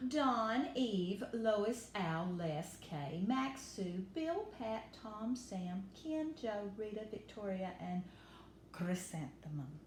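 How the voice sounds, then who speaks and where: Animated woman reading to children, roomy echo. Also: mid-range voice, slow. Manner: animated, instructive, dwells on key words for emphasis. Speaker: storytime reader and teacher. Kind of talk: expressive picture-book read-aloud for children. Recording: roomy echo with faint background noise.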